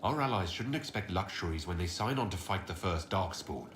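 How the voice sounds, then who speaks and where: A man's voice: low voice, lively intonation, brisk, roomy sound, noisy background. An actor playing a resolute, courteous male leader in a video game, speaking in a composed and formal way. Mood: firm. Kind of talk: scripted video game dialogue.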